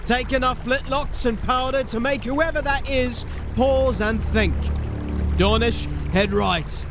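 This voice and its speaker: Gruff, high-pitched male watch sergeant. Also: slow, background noise, heavily acted. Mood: urgent, commanding. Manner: clipped, urgent orders. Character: gruff, commanding city watch sergeant leading a desperate fight. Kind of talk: fantasy audio drama acting. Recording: music under the voice.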